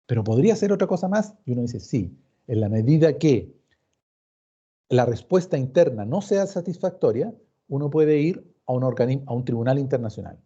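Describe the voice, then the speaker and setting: A man's voice: mid-range voice, animated, brisk, dark tone, slightly rough. A man with a Chilean accent lecturing informally as a law professor, didactic and emphatic, often posing questions and repeating words. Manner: didactic, emphatic repetition, acts out questions and answers. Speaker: law professor teaching an online class. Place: recorded online meeting. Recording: dull, muffled online class recording.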